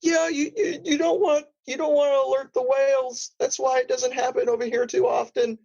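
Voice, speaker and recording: Tiny high squeaky-cute cartoon crab, naive little schemer, rambly and pleading, improv comedy. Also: male voice, flat delivery, normal speed, vocal fry, darkish tone, muffled recording, heavily acted. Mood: casual, eager. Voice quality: high-pitched, small cartoonish voice. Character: small naive, scheming talking crab hunting treasure. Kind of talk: improvised comedy role-play.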